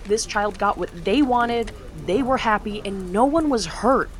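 A woman's voice: mid-range voice, a little slow, lightly acted. A woman playing a defiant young charity worker. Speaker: charity coordinator Meredith Dare. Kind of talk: audio drama acting.

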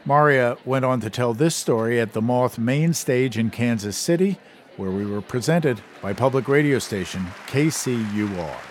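A man hosting a radio show, reading scripted introductions in a measured, even way. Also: mid-range voice, a little hiss or hum. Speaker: radio host. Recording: narration over clear music bed.

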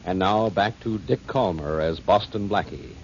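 A male radio announcer with a slow, dramatic delivery. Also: low voice. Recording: muffled old radio broadcast, noticeable hiss.

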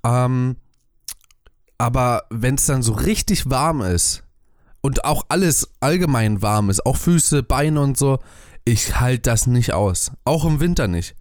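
A man's voice: mid-range voice, expressive, slow, darkish tone, dry recording, studio quality. Rambling man in casual chat. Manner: rambling, hesitant with many fillers. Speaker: podcast co-host. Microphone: webcam and desk mic at home.

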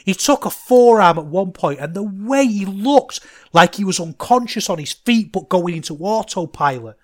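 Manchester bloke, middle-aged podcast host, slightly high voice, rambling and fired up. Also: male voice, moderate pace. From England. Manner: enthusiastic, rambling, gets loud when excited. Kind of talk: casual solo podcast chat.

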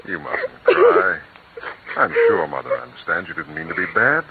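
An actor playing a gentle, patient father and husband with a soothing manner, in 1940s radio acting. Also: low-pitched, huge pitch swings, normal speed, heavy background noise. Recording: tinny old radio broadcast.

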